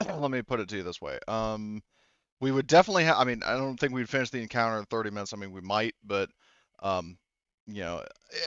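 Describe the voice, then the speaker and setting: A man's voice: fairly low pitch, fairly bright tone, clean tone, no room sound, lo-fi sound. A man talking casually as a game master, rambling and hedging as he thinks aloud. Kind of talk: casual tabletop game talk.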